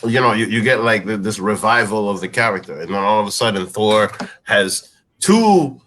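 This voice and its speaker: A man's voice: fairly low pitch, lively intonation, fairly bright tone, a little hiss or hum. Insistent man in a casual film debate. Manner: insistent, halting, repeats phrases. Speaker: panelist on a film review show.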